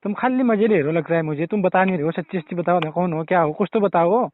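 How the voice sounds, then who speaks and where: A man's voice: high-pitched, quick pace, noisy background. A man with a Kumaoni accent making a comic prank call, persistent and pleading. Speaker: prank caller. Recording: tinny phone line.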